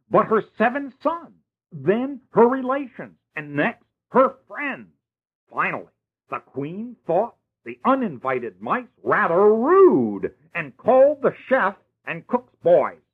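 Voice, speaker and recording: A kindly old godfather telling a fairy tale, played by a man, with a lively, high voice that leaps about. He sounds a little husky, and the recording is tinny like a phone line. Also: slow, heavily acted. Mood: warm. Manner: theatrical, playful storytelling. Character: kindly older male godfather and storyteller. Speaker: narrator. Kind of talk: storybook narration.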